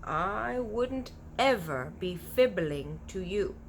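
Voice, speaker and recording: A woman's voice: mid-range voice, animated, slow, slight background noise. A woman voicing a kindly, big-eared storybook giant who is earnest, proud and easily offended, talking in folksy broken grammar with invented words. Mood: solemn, earnest. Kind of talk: animated children's storybook character voice.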